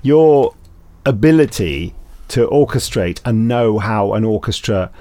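Lively, effusive host. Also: male voice, lowish voice, measured pace, no echo. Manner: effusive, jokey, full of praise. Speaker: podcast host and producer. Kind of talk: casual chat. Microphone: video call.

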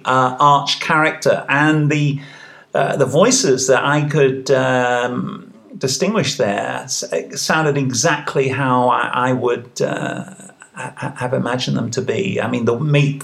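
A man being interviewed casually, talking in a halting, hesitant way. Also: medium pitch, creaky, a bit bright. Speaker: interview guest, writer. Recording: echoey room.

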